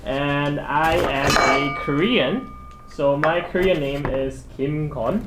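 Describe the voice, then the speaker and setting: A young man, a university student who grew up in the Philippines, co-hosting a podcast and talking in a chatty, lively way with laughs. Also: a little high, slow, reverberant, heavy background noise. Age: young adult. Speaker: podcast co-host. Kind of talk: casual chat.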